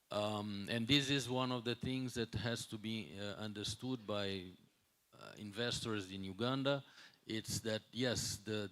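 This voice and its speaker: Hotel executive, male, 60s, non-native English, slightly deep voice, speaks off the cuff at a conference, searching for words. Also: a bit bright. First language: not English. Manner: halting, searching for words, with repeated words. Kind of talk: unscripted conference talk.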